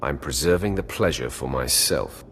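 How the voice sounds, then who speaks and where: A man's voice: very deep voice, moderate pace, fairly bright tone, husky, exaggerated voice. An actor playing a confident, menacing Sith warrior in a video game, curt and dry in his delivery. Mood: terse, dry. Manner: terse, dry.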